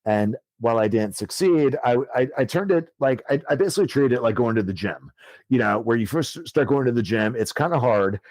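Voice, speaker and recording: A man's voice: mid-range voice, animated, brisk, darkish tone, no echo. A man in his thirties, an author guest telling his story in a casual, rambling way with many fillers and easy swearing. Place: podcast studio.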